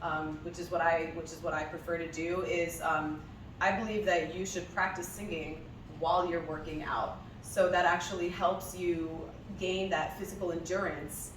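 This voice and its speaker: A young woman from New Jersey whose first language is Hebrew, chatting casually in an interview about her music, laughing often and filling pauses with ums. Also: on the low side, steady tone, a little hiss or hum. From New Jersey, USA. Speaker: singer being interviewed. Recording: echoey room, voice from a distance.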